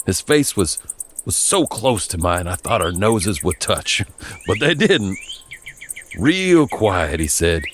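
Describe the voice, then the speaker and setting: An American man from Virginia narrating a first-person story in a folksy, wry, expressive way. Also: sits low, a little slow, a little hiss or hum. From Virginia, United States.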